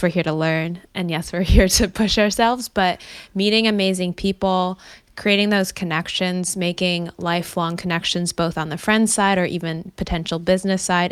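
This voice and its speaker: A woman's voice: on the low side, clear, resonant tone, no room sound, clean studio sound. A woman interviewing a fellow student, friendly and encouraging, often laughing lightly.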